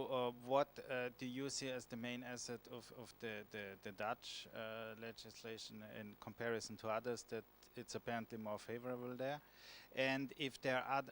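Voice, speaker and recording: A man with an Austrian accent asking a panel a measured question from the audience. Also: mid-range voice, a bit bright, slight background noise. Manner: measured, with repetitions.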